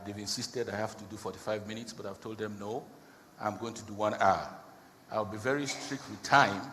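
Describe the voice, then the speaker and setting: A man with a low voice chairs a conference panel. He is firm and deliberate and sets out his questions one at a time. His voice carries a long hall reverb over faint background noise. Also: fairly bright tone. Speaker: panel moderator.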